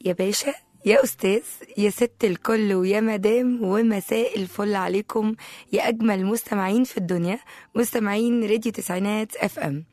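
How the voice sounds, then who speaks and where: A woman with an Egyptian accent and a slightly hoarse voice, chatting playfully and directly to listeners as a live radio host. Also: medium pitch, steady tone, brisk, a little mellow. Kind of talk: casual live radio talk. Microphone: close studio microphone.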